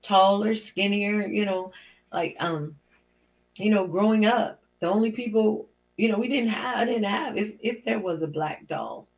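A middle-aged woman hosting her own show, talking in an encouraging, preacherly way with small laughs. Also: a little slow. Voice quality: deep for a woman. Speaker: host. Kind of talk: inspirational talk show monologue. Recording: dull lo-fi podcast audio.

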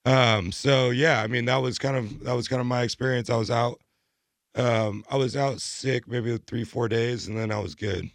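A young American man with a hoarse voice, chatting casually as a podcast co-host in a rambling, slangy way. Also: medium pitch, steady tone, moderate pace, no room sound, clean studio sound. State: hoarse, recovering from illness. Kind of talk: casual chat.

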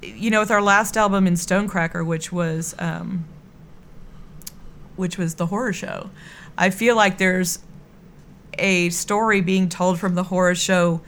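A woman's voice: fairly low pitch, steady tone, a little slow, long pauses, fairly bright tone, a little hiss or hum. A middle-aged woman with a Texan accent, an earnest, effusive and rambling singer chatting casually as an interview guest. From Texas, USA. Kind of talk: casual radio interview chat.

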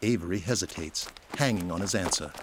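A man's voice: deep voice, a little fast, noisy background. A man reading scripted narration for an audio drama.

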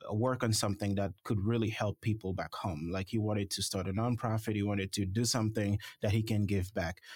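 A man from Haiti, a startup founder, answering interview questions in a measured way with many fillers. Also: on the low side, a little mellow, dry recording, clean studio sound. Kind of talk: conversational interview.

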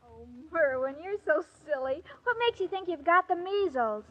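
A woman's voice: extremely high pitch, lively intonation, moderate pace, fairly bright tone. An actress playing a sweet, scatterbrained young woman in a 1940s radio comedy, naive and earnestly muddled. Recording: dull old radio recording, audience, faint noise.

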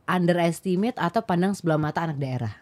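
A man's voice: on the high side, fast, crowd noise. A man from Surabaya with an East Javanese accent, joking and bantering as a guest in a casual podcast chat.

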